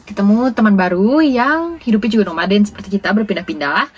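A woman's voice: mid-range voice, lively intonation, fast talker, slightly rough, soft background music. A young woman with an Indonesian accent, chatting casually as a travel vlogger. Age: young adult. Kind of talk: casual chat to camera and with people met on the road.

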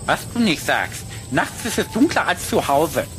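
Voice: mid-range voice, big pitch swings, a little slow. An actor voicing a bossy, wisecracking male cartoon rabbit in a children's audio play, speaking in a teasing, theatrical way. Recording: noisy old cassette recording.

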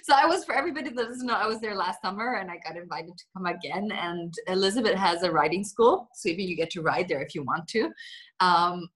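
A woman in her thirties from Germany chats casually on a video call from her living room, animated and giggly, with thoughts that trail off. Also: fairly low pitch, fast talker. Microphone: video call, computer microphone.

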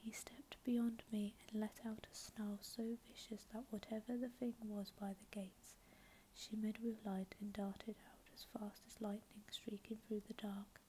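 A woman reading a novel aloud in a soft, unvoiced ASMR whisper. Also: medium pitch, flat delivery, a little slow, a little hiss or hum, poor audio. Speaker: narrator.

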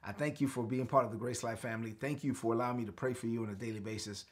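A man's voice: mid-range voice, steady tone, very fast. A middle-aged man from Brooklyn, a pastor giving a live-streamed daily sermon from a home studio, earnest and declarative, repeating phrases for his viewers to echo. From Brooklyn, New York. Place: home studio with lit shelves.

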